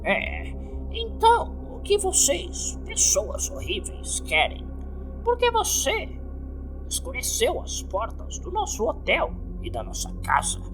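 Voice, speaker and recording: Lady doing a haughty widow in a horror story, high voice, really slow, confrontational. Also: wildly swooping pitch, over music, exaggerated voice, low-quality recording. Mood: disdainful, challenging. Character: stern disapproving widow, a bar regular.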